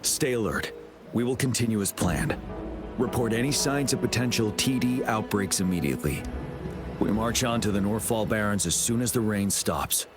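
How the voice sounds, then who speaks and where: An actor playing a stern, composed general in an anime game, grave, measured and commanding. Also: male voice, on the low side, natural voice. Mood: grave, resolute. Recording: clear music behind.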